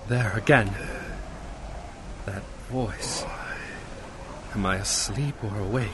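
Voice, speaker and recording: An actor playing a haunted, fearful concert pianist in an old-time radio horror drama, anguished and melodramatic. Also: male voice, lowish voice, slow pace, noisy background. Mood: terrified, feverish. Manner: anguished, melodramatic, swinging from hushed to outbursts.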